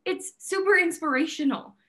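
An actress plays a high-voiced woman who runs a gallery with fire and conviction. She is assertive and bossy, leaning on her words as in a stage play read over a video call. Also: moderate pace, fairly bright tone. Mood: proud, firm. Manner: assertive, emphatic, declarative. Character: fiery, bossy, idealistic woman gallery owner. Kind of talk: virtual staged play reading. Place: plain indoor room, video call.

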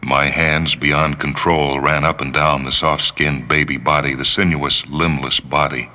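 Man acting a very deep, anguished husband. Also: flat delivery, pushed and bright, in a large hall, heavily acted. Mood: anguished horror. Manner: slow, halting, strained delivery. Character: anguished young husband and father in shock.

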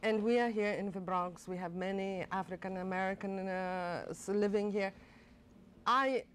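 An older woman from Poland, speaking as a guest in a TV studio interview, enthusiastic and animated with frequent fillers and restarts. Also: mid-range voice, moderate pace, a little hiss or hum. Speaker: director of a college performing arts center.

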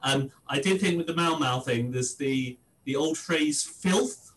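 A middle-aged man with a fairly high voice chats casually. He is on a video call with a faint rumble of noise. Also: a little slow, fairly bright tone, low-quality recording. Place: indoor study. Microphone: computer microphone on a video call.

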